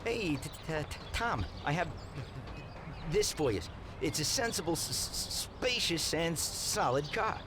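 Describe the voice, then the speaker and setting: Stuttering, timid mechanic. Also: male voice, on the high side, animated, measured pace, noisy background. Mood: eager, halting. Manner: heavy stutter on first sounds. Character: timid, stammering, friendly mob garage mechanic. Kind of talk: scripted video game dialogue.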